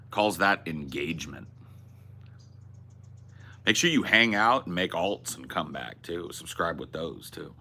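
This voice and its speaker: A man with a deep, bright and forceful voice chats loosely on a livestream. He rambles and swears as he answers viewers, stumbling and restarting mid-thought, and talks. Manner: rambling, profane, restarts and self-corrections. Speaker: streamer host. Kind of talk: casual livestream chat reading viewer messages.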